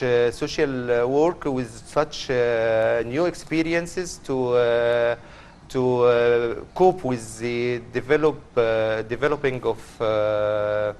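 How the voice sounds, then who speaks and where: Guy on a morning show, slow, lots of uh, bit of noise behind. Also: mid-range voice, a little mellow. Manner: hesitant, many fillers. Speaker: studio guest.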